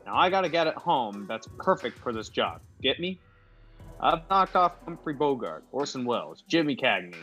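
An actor playing an affable, tough-talking movie gangster star in an old-time radio comedy, with slangy, stammering speech. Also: male voice, mid-range voice, sing-song, normal speed, bright, forceful tone. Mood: brisk, conspiratorial. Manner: slangy, clipped, hesitant stammers. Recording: dull lo-fi audio with music behind.

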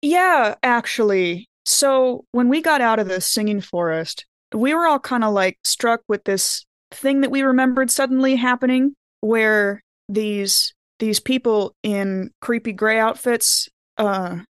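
A woman playing an anxious, blunt investigator in a tabletop game, talking in halting, informal slang. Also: fairly high pitch, clear, resonant tone, no room sound, studio quality, lightly acted. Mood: uneasy. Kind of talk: improvised in-character tabletop dialogue.

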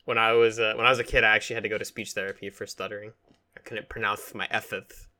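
Guy podcast co-host, bright voice, rambly jokey chat, swears a bit. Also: lowish voice, flat delivery, fast. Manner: joking, profane, rambling. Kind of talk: casual comedic chat.

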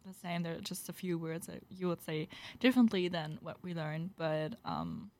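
A woman's voice: fairly low pitch, animated, normal speed, a little mellow, no room sound. Teenage girl, exchange student, chatty, lots of fillers. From Germany. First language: German. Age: teens. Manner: chatty with many fillers and laughs.

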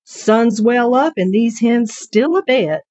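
A woman's voice: fairly high pitch, lively intonation, slow pace, a little mellow, dry recording, highs cut off, pitched above the performer's own voice. A woman voicing a kindly, folksy old henkeeper with rural turns of phrase in a short story reading. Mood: folksy puzzlement.

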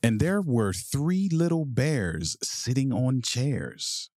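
Guy reading a kids picture book for bedtime, real slow and measured, dry close sound. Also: mid-range voice, big pitch swings, darkish tone, no room sound. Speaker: podcast host reading a children's picture book. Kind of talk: bedtime story read aloud.

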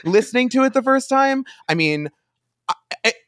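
A man's voice: very high voice, lively intonation, moderate pace, fairly bright tone, dry recording, studio quality. A man co-hosting a podcast in casual fan discussion, gushing with dramatic emphasis. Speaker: podcast co-host.

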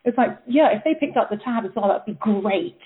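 A woman's voice: on the high side, a little fast. Chatty woman on a tinny phone line. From England and Austria. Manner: chatty, laughing, animated storyteller. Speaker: guest opera singer. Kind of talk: casual chat.

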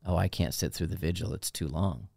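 A man with a tenor voice, telling stories in a casual, animated, self-deprecating way as co-host of a radio show. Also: brisk, vocal fry, dry recording, studio quality. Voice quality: high tenor voice. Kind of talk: casual chat.

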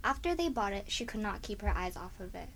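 A woman's voice: mid-range voice, sing-song, fairly quick, a bit bright. A woman reading scripted narration for a children's story as the narrator. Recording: faint background noise.

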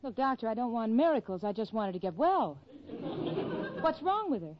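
An actress playing a sensible, wry, protective young roommate in a 1940s radio sitcom. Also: female voice, on the high side, a little fast. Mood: worried. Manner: expressive, wisecracking. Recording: dull, noisy vintage 1940s radio.

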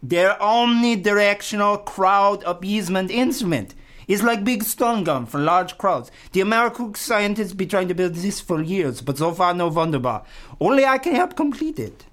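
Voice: medium pitch, animated, moderate pace, a bit bright, lightly acted. A performer playing a pompous, scheming villain swami in a comic radio play, with theatrical, taunting delivery. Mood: sly. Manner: theatrical, gloating, rhyming taunts.

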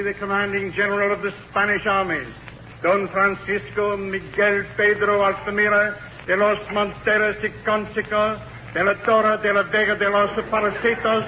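A man's voice: sits high, pushed and bright. A man playing a pompous herald in a 1940s radio play, declaiming grandly. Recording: dull, hissy radio recording, long reverb.

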